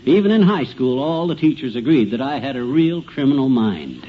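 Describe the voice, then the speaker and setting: An actor with an American accent playing a cocky, dim-witted amateur detective in a folksy, word-mangling 1950s radio comedy style. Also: male voice, medium pitch, sing-song, moderate pace, close to an everyday voice. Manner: folksy, bumbling, self-important, mangles words. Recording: dull, noisy old radio recording.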